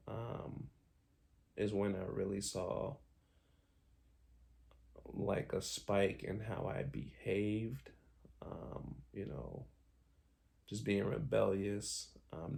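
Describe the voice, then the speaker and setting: A man's voice: on the low side, steady tone, slow, gravelly, long pauses, darkish tone. A young man from Haiti telling his own life story in a candid, reflective way, with many ums and restarts. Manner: frequent ums, candid, self-correcting.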